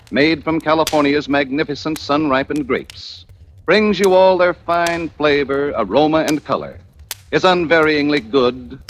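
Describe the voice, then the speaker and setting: A man reading a scripted 1940s radio advertisement as an announcer with a polished sales delivery. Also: a little high. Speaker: commercial announcer. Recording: noisy old radio broadcast.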